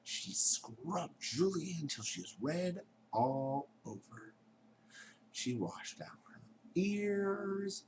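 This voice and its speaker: Very animated man reading to children. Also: on the high side, a little hiss or hum. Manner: theatrical, dropping into hushed tones for emphasis. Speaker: storyteller reading a picture book aloud. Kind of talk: animated children's read-aloud with chatty asides to listeners.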